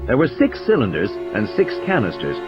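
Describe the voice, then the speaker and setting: A man's voice: on the low side, wildly swooping pitch, brisk, dull audio, over music. A man reading a scripted, dramatic audiobook narration as a storyteller.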